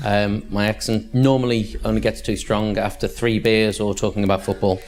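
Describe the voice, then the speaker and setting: A man's voice: fairly low pitch, little pitch movement, normal speed, darkish tone. A man from Liverpool with a Liverpool accent, speaking as a panelist at a conference in a direct, matter-of-fact way. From Liverpool, England. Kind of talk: conference talk.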